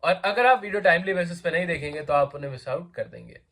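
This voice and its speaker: Host, male, slightly raised pitch, sore throat, chatty explainer, loose Hindi-English mix. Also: expressive, fast talker, a bit bright. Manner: chatty, repetitive fillers, conversational address to viewers. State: sore throat, coughing now and then. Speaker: job vacancy channel host.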